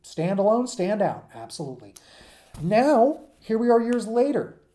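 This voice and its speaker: Mellow man giving an informal, emphatic lecture. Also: sits high, very animated, normal speed. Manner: conversational, checks in with "right?" and "okay?". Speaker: teacher presenting a comics history lesson. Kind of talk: informal unscripted video lecture.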